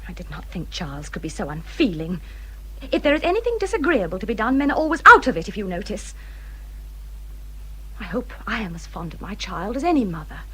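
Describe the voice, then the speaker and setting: A woman's voice: mid-range voice, sing-song, a little fast, fairly bright tone, breathy edge, full character voice, poor audio. An actress playing a self-pitying young married woman in a period radio drama, with a genteel English accent and a fretful, aggrieved manner. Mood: self-pitying complaint.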